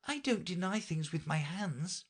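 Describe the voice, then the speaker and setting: A woman's voice: lowish voice, lively intonation, unhurried, slightly rough, voice pushed up. A woman voicing a polite, earnest young girl with a slightly timid manner. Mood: earnest girlish.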